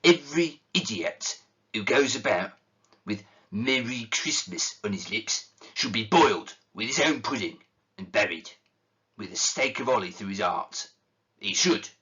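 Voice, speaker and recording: A man's voice: fairly low pitch, a little slow, bright, forceful tone, breathy edge, dull audio, heavily acted. A man voicing a stern, miserly old man who speaks in a curt, indignant, sneering way.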